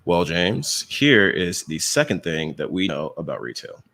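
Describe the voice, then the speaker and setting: A man's voice: sits low, very animated, a little slow, fairly bright tone. A man co-hosting a talk, explaining trends in a casual, chatty way and often checking in with the listener. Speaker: co-host presenting retail trends. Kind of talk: casual conversational presentation.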